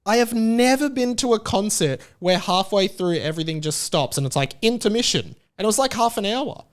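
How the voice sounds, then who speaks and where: A man's voice: high-pitched, lively intonation, fairly quick. An Australian man hosting a casual solo podcast, rambling and self-deprecating, with many filler likes. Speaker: podcast host.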